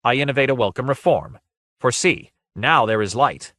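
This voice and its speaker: A man's voice: mid-range voice, lively intonation, normal speed, a bit bright, no echo, clean studio sound, voice pushed up, natural voice. A man acting a bold, defiant male adventurer in a fantasy story, speaking in a proud, formal, archaic and declamatory way.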